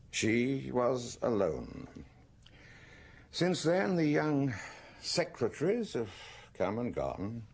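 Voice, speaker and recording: Radio drama country doctor: older, brusque, gossipy, creaky lowish voice with lively swings, dry hesitant asides. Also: male voice, full character voice.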